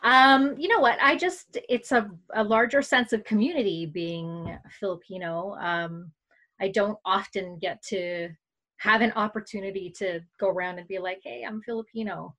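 A woman from Canada, a mother, chatting as a podcast guest. Her voice sits at a middle pitch, and her delivery is playful and loose, full of laughter. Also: normal speed, a bit bright. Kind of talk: casual chat.

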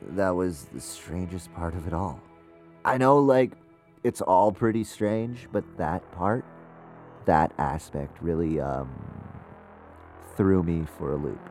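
A man playing an anxious, troubled ghost investigator who narrates his audio drama in a halting, confessional way. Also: sits low, a little mellow, exaggerated voice. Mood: hesitant, confessional. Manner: halting, hesitant, uneasy.

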